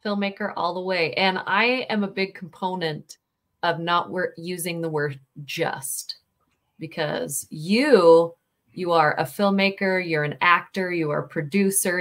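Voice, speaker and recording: A woman's voice: on the low side, measured pace, fairly bright tone. A woman hosting a casual online interview over a video call, talking in an upbeat, chatty way from a headset mic at home. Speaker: host. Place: home room with posters.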